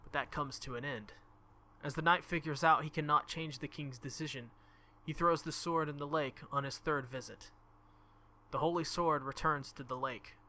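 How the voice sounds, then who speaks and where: A man's voice: a little high, pushed and bright. A man who makes gaming videos, reading visual novel text aloud and commenting casually on the game. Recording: music behind the voice. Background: game music.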